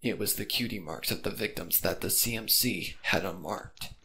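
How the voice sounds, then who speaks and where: A man's voice: on the low side, normal speed, vocal fry, sharp tone. A man reading scripted, dramatic narration for a fan fiction story.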